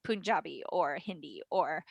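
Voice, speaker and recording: A woman, a voice and dialect coach, explaining her method as a guest on a webinar, in animated, rapid talk with quick asides. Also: deep voice, creaky, no echo. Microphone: webinar call mic.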